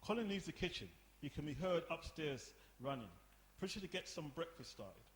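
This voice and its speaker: A man's voice: on the high side, lively intonation, moderate pace. A man reading scripted stage directions as the narrator of a play. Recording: echoey room, clear background noise.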